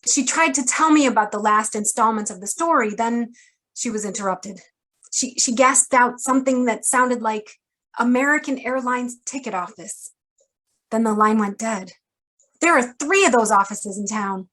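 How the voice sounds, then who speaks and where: An actress playing a detective's loyal, urgent, sharp-tongued female ally in a noir radio drama. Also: a little high, moderate pace, poor audio. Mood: urgent, worried.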